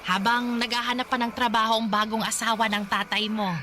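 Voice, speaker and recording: A woman playing a soft-hearted, apologetic old grandmother with a halting manner and a Filipino accent in a radio drama. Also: fairly high pitch, flat delivery, a little hiss or hum. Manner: halting, hesitant.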